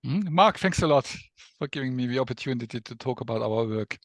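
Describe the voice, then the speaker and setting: A man's voice: medium pitch, lively intonation, brisk, no echo. A mature man from Germany with a German accent, speaking as an academic guest explaining things in an interview. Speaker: guest professor, neuroscience researcher.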